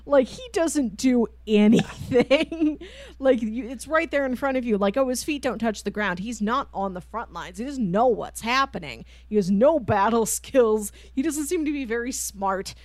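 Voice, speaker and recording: A woman's voice: on the high side, animated, moderate pace, dry recording. A woman co-hosting a podcast, retelling stories in casual chat and often chuckling through her sentences. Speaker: podcast co-host. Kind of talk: casual chat reviewing manga.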